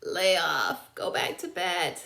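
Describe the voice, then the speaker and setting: A woman's voice: on the low side, takes it slowly, gravelly, pushed and bright, exaggerated voice. A woman voicing a young male soldier in the trenches for a children's storybook. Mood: grumpy. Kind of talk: children's storybook read-aloud.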